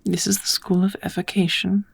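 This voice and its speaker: A woman voicing a kind, patient witch in a soft, often whispered ASMR roleplay, teaching magic in a gentle, measured, slightly formal way. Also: medium pitch, flat delivery, creaky, breathy edge. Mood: calm, patient.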